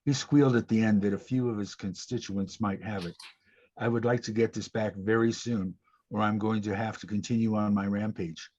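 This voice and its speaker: Menacing drama villain, played by a man: husky, measured and cold, on slightly muffled audio. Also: lowish voice, fairly even intonation, darkish tone, close to an everyday voice. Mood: cold menace. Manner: measured, threatening. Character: menacing male villain giving a cold ultimatum. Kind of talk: scripted table-read acting. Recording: dull lo-fi audio.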